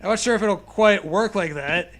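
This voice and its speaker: A man's voice: high-pitched, moderate pace, fairly bright tone. A young man hosting a comedy podcast, reading bad fan fiction aloud in a casual, irreverent, jokey way. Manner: jokey, irreverent, laughs often.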